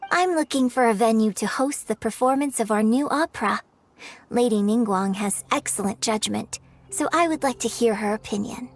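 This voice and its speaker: An actress voicing a polite, composed young opera singer in a video game, speaking formally. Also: female voice, fairly high pitch, moderate pace. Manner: formal, courteous.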